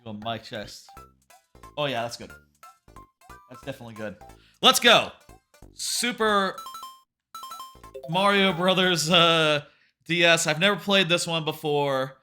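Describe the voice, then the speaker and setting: A man livestreaming a game, talking casually in a loud voice that rambles and corrects itself, sitting about an arm's length from his microphone. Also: a little high, animated, a little slow, long pauses, soft background music. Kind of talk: casual live gameplay chat.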